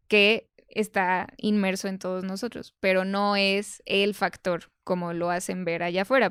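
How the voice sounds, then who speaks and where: A woman's voice: medium pitch, smooth, no room sound, clean studio sound. A woman giving conversational explanations as an expert guest, emphatic and didactic, going point by point. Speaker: podcast guest, nutrition expert.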